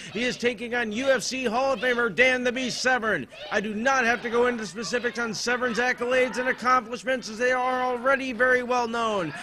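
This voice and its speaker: A man with an American accent doing comedic wrestling play-by-play in a deadpan, sardonic, wordy manner. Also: high-pitched. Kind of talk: comedic sports commentary.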